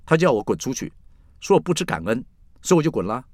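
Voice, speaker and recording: A man's voice: medium pitch, very animated, fast talker, darkish tone, dry recording, pitched above the performer's own voice. A man voicing a brazen, flirty, rebellious woman of forty in dramatised storytelling, teasing and blunt. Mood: defiant.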